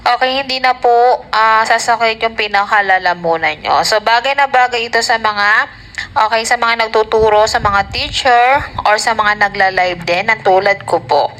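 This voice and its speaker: A woman with a Filipino accent giving a casual, chatty product demo and sales pitch, often addressing her viewers directly. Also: moderate pace, a bit bright, poor audio. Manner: chatty, repeats filler tags and addresses viewers. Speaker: product reviewer and live seller.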